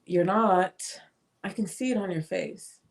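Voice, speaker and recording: A woman playing a chatty, affectionate and slightly vain older sister in a dramatic script reading, expressive and teasing. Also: mid-range voice, unhurried, gravelly. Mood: teasing, probing.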